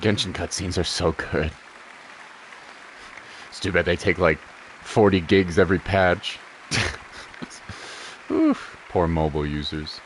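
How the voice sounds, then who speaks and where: A man's voice: sits low, fairly even intonation, slow pace, pauses often, a little hiss or hum, poor audio. A man giving casual, laid-back gameplay commentary as a streamer, reacting appreciatively. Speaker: game streamer. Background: game music.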